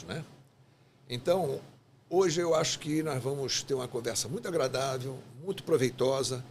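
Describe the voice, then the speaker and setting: A man's voice: medium pitch, a little hiss or hum. A man hosting a podcast interview, introducing his guest in long, rambling sentences with hesitations. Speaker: podcast host, association president.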